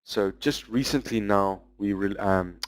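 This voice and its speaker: Man, lowish voice, dry close sound, webinar presenter, explanatory, pauses with "um" often. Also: no room sound. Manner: explanatory, walking through product features; loose, with frequent "um" pauses. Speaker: business development manager presenting a webinar.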